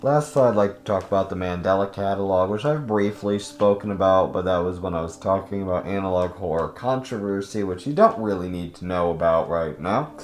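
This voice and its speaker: A man's voice: low voice, fairly quick, hardly any pauses, reverberant. A man giving casual, opinionated video essay commentary in an informal, emphatic way that leans on intensifiers and casual swearing. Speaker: host of a video guide.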